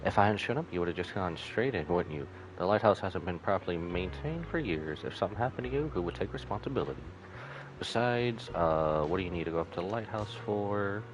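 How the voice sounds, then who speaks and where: A man's voice: sits low, lively intonation, a little fast, background noise. A man voicing a cautious, scolding old man who guards a lighthouse in a video game. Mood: stern, scolding. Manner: stern, admonishing.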